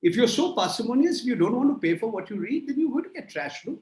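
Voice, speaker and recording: A man's voice: very high voice, very animated, fast talker, darkish tone, reverberant. A man in his sixties answering audience questions in a lecture, emphatic and rhetorical, piling up phrases in lists. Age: 60s. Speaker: guest speaker. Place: remote call from a room.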